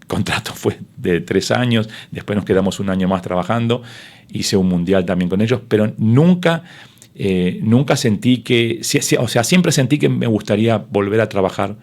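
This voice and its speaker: Deep gravelly Spanish-speaking man. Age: middle-aged. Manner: animated, hesitant with many fillers and restarts. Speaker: interview guest, sports broadcaster. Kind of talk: conversational interview, storytelling.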